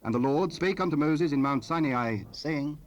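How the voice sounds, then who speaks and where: A man's voice: fairly high pitch, lively intonation, normal speed, lightly acted. A man narrating a dramatized Bible in a solemn, formal scripted style. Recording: noisy 1970s cassette rip.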